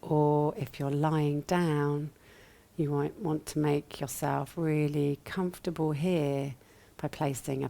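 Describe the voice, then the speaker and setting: A woman leading a guided meditation as a teacher, speaking gently and soothingly in short phrases with long pauses, her voice soft and at times breathy. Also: low-pitched. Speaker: yoga nidra meditation teacher.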